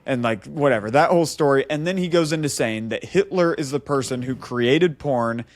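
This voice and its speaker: Male podcast storyteller, mid pitch, casual and comic, animated, voices the people in his anecdote. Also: normal speed, slight background noise. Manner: animated, acts out dialogue. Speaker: podcast co-host.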